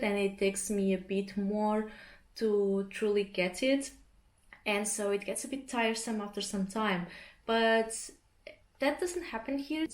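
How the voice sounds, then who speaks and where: Chatty woman vlogging in an echoey room. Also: medium pitch. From Portugal. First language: Portuguese. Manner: chatty, relaxed, often laughs mid-sentence. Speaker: art vlogger. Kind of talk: casual chat to camera. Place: home interior. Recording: roomy echo.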